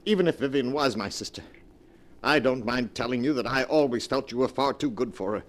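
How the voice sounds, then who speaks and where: An actor playing a calculating, persuasive brother-in-law in a 1940s radio crime drama, with a controlling, theatrical manner. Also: male voice, medium pitch, a little fast, lightly acted. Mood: scheming, persuasive. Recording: noisy vintage 1940s radio broadcast.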